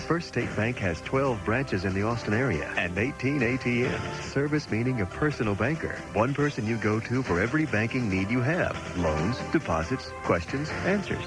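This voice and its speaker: A man of middling pitch reads a scripted radio ad. His intonation moves widely and lively from phrase to phrase. Music plays clearly behind him. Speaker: commercial announcer. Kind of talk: scripted radio advertisement read.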